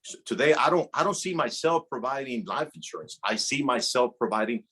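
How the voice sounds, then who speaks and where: A man's voice: medium pitch, a little fast, slightly rough. A man from Rico, in his thirties, telling his story as a podcast guest with earnest energy and frequent restarts. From Puerto Rico. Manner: earnest, animated storytelling with repeated words. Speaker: guest, insurance agency director. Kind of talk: casual podcast interview.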